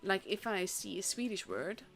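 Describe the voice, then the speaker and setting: A woman's voice: medium pitch, lively intonation, moderate pace, creaky, a bit bright. A young woman with a Dutch accent, a native Dutch speaker, chatting casually while commentating a tournament on her live stream, rambling with fillers and restarts. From Netherlands. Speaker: esports caster and streamer. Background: faint stream music.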